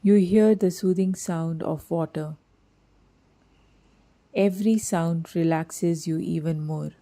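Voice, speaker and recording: Slow, mellow woman guiding meditation. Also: fairly low pitch. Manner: slow, soothing, gently measured delivery. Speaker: meditation guide. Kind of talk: scripted guided meditation narration.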